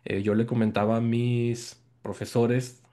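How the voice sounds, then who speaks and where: A man's voice: mid-range voice, flat delivery, unhurried, warm tone. A man from Mexico with a Mexican accent, giving an academic presentation over a video call. He explains patiently, repeats key words and checks in with his listeners. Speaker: guest lecturer presenting research. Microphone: video call microphone.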